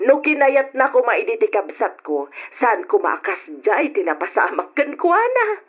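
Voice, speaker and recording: A woman playing a bitter, scornful gossip in a radio drama, emphatic and husky, with an Ilocano accent. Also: on the high side, big pitch swings, a little slow, a bit bright, full character voice. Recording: dull old radio drama recording.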